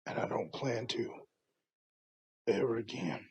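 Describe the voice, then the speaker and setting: A man playing an older, remorseful farmer father, speaking haltingly and earnestly in a motion comic drama. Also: lowish voice, darkish tone, slightly rough. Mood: solemn, grateful. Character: grieving, remorseful farmer father, humbly thankful.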